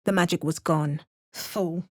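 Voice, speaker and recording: A woman voicing a wry, watchful female adventurer for a video game. She makes understated remarks and narrates events calmly, like a storybook. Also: on the low side, unhurried, no room sound, clean studio sound. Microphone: close studio mic.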